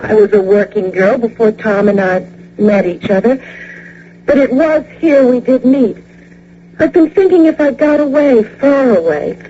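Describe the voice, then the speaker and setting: Young widow, high soft-toned voice, earnest, hesitant, downcast, dull hissy radio recording. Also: female voice, expressive, a little slow. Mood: wistful. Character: grieving young widow, polite, downcast, newly rich. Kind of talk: old-time radio drama acting. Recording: dull, noisy radio drama recording with hum.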